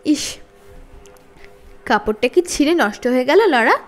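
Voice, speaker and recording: Woman as a sneering schoolmistress, high pitch, swooping intonation, icy, mocking, petty, storybook villain. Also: animated, unhurried, faint music behind. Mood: cold sarcasm.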